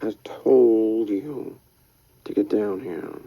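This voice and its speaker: A man voicing a cold gunman who speaks quietly and stresses every word the same. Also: fairly low pitch, very slow, dry recording, dull audio, exaggerated voice. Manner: flat, evenly stressed, quiet. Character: cold, smiling gunman, flat and menacing.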